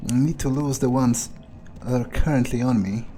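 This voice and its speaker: A man playing a brooding, casually ruthless vampire who thinks aloud in an unhurried mutter, as in-character game narration. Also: medium pitch, fairly even intonation, warm tone, lightly acted. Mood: brooding, matter-of-fact. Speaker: let's player role-playing in character. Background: game music.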